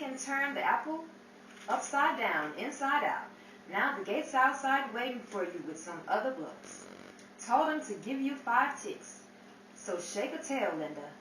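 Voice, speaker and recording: Swooping bright voice, needling quick-witted club go-between a woman acting, jive-slang patter, 1930s radio play. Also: fairly high pitch, expressive. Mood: swaggering, slangy. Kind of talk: 1930s old-time radio drama acting. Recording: roomy, distant mic, hissy background.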